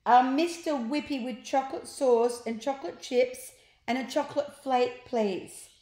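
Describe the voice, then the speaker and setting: Woman as an eager, high-voiced young boy. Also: measured pace. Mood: eager, polite. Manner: eager, excitable. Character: eager, polite young boy, excitable storybook hero. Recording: roomy home recording.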